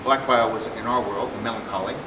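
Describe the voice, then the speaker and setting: A man's voice: fairly low pitch, fairly even intonation, quick pace. Man lecturing in an echoey hall. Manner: conversational, joking, frequent fillers and restarts. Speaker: psychiatrist presenting at a symposium. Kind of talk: conference talk. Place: conference room with projector screen. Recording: echoey room, distant mic, air conditioning hum.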